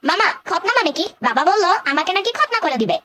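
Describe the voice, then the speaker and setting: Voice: very high-pitched, sing-song, quick pace, slight background noise, full character voice. A performer voicing a cheeky, stubborn little boy in a cartoon, talking in a childish, protesting way with English catchphrases. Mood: puzzled. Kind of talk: cartoon dialogue. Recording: loud music under the voice.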